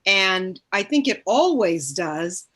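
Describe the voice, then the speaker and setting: A woman's voice: mid-range voice, sing-song, takes it slowly, fairly bright tone. A woman actor talking reflectively in a panel conversation, searching for words and stressing her points, often saying "like". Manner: searching, emphatic, repeats "like". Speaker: stage actor in a cast discussion.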